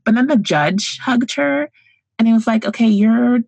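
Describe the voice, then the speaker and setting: A woman's voice: a little high, normal speed, vocal fry, breathy edge, dry recording. A young woman from Central Florida, a podcast guest, speaking casually with many "likes" and "ums". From Central Florida, United States. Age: young adult. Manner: relaxed, lots of "like" and "um", backchannels often. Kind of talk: casual conversational interview.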